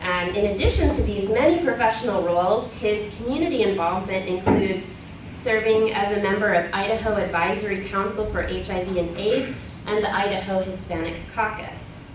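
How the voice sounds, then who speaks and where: A woman's voice: mid-range voice, moderate pace. Woman introducing panelists in echoey hall. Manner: warm, welcoming introductions with occasional fillers. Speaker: event moderator. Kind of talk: reading speaker introductions. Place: college symposium hall. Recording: echoey hall, mic far off, noisy.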